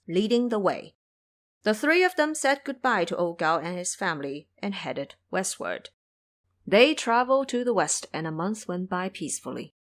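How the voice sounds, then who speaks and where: A woman reading scripted audio drama narration as an expressive storyteller. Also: medium pitch.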